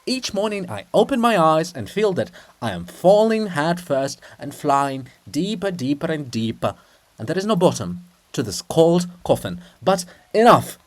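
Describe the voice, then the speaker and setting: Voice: medium pitch, sing-song, normal speed, lightly acted. A reader voicing an exasperated, haughty princess in a dramatic farce, complaining melodramatically and imperiously. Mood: anguished, bitter. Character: exasperated, haughty princess statue, long-suffering and scolding. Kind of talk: dramatic stage reading of a farce.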